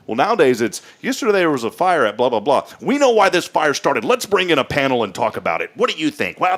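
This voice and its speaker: A man's voice: medium pitch, a bit bright. An American man in his late thirties hosting a talk show in a casual, folksy, rambling way. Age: late 30s. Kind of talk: casual chatty monologue.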